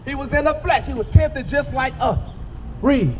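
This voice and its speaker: A man's voice: very high-pitched, normal speed, soft background music. A man from the United States preaching on the street in an emphatic, colloquial way, checking in with his listeners as he goes. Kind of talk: street sermon with call-and-response to a reader and bystanders. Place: outdoors on a city street. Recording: distant mic, clear outdoor traffic noise.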